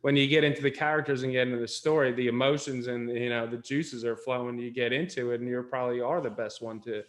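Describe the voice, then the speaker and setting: A man author chatting on a panel, with a flat, even delivery. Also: medium pitch, quick pace. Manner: self-described monotone. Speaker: author guest. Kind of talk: casual panel chat.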